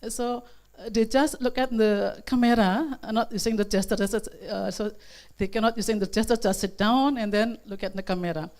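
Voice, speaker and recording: A woman with an Indonesian accent, a teacher asking a seminar question in halting, repetitive English. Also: medium pitch, steady tone, a little mellow.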